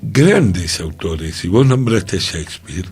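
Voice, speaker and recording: A man with a Rioplatense accent talks slowly and deliberately, his voice low and husky. He stresses his points by repeating words. Faint music plays underneath. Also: sing-song, darkish tone. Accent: Rioplatense Spanish. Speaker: radio show co-host. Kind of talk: cultural radio discussion.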